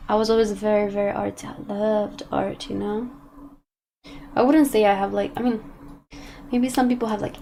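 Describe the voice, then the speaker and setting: A woman's voice: medium pitch, little pitch movement, moderate pace, gravelly, pauses often, mellow, a little hiss or hum. A young woman with a British accent and a slight Australian twang, rambling through casual stream chat with many restarts while she paints.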